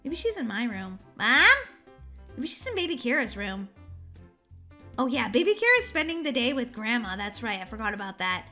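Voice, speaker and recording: Excitable, entitled young girl for game roleplay, played by a woman; high, animated, dramatic. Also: normal speed, soft background music. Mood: casual, puzzled. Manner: exaggerated, dramatic. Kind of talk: children's game roleplay acting.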